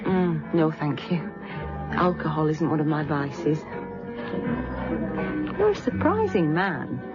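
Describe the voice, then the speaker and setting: Polite woman over loud music. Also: low-pitched, big pitch swings, takes it slowly, exaggerated voice. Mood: polite, intrigued. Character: polite, curious woman on a dinner date. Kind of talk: British radio drama acting. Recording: dull recording under loud music.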